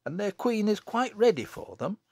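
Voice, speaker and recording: A cheerful young village woman from an audiobook tale, played by a man. The voice is lifted high and light, with lively melody, and sounds eager, kindly and a little dreamy. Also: normal speed, creaky, no echo, heavily acted.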